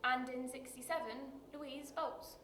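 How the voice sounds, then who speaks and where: A woman acting a dramatic screen monologue as a bitter, wounded working-class woman, confessional and halting, with weighted pauses. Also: sits high, fairly even intonation, fairly bright tone. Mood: pained. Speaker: actor performing a monologue. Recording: roomy echo with light background noise.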